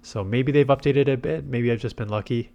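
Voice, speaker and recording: A man's voice: medium pitch, a little fast, gravelly, darkish tone. Stuffed-up man commentating on gameplay. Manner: thinks aloud through tactics. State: congested with a head cold. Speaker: game commentator and video host.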